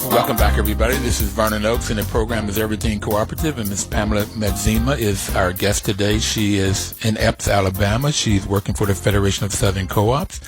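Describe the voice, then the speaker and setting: A man's voice: fairly low pitch, fairly even intonation, fast, hardly any pauses, over music. A man hosting a radio interview show, conversational in manner and often repeating his guest's words back. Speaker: radio talk show host.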